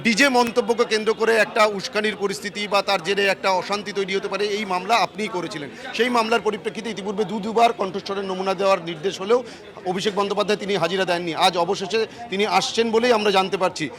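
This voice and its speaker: A man's voice: sits high, fairly even intonation, very fast. A man reporting live outdoors, with street and crowd noise around him. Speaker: field news reporter. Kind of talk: live on-location reporting and interviewing. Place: outdoors outside a city court.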